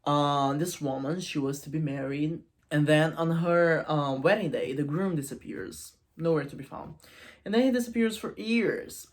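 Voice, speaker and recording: A woman casually retelling and analysing a story in an informal, animated storytelling manner. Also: sits low, normal speed. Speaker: video essayist and book reviewer.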